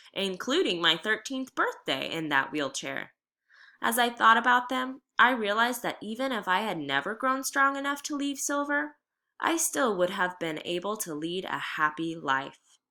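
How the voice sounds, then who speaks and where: A woman teacher giving a read-aloud lesson, explaining deliberately and reading expressively. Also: medium pitch, big pitch swings, bright, forceful tone.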